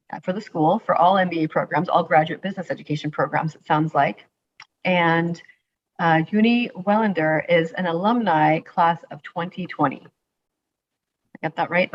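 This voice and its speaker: A woman's voice: fairly low pitch, muffled recording. A woman hosting an online interview webinar, friendly and casual, with frequent fillers, over a video call. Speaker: host.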